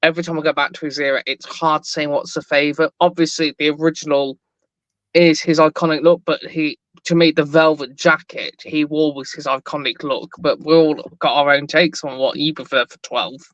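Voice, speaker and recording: A man chatting on a podcast with a deadpan, wry manner. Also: on the high side, fairly quick, no echo. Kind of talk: casual podcast chat. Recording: dull lo-fi mic.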